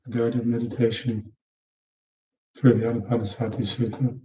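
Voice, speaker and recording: A man leading a guided meditation as a teacher, giving calm, unhurried instructions in short, soft phrases. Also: mid-range voice, slight background noise. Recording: muffled, echoey room, distant mic.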